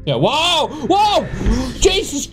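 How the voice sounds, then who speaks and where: A man in his thirties giving panicky, excitable horror game commentary, often repeating his phrases. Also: very high voice, drawn-out words. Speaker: gaming video creator. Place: indoor home gaming room. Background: loud game music.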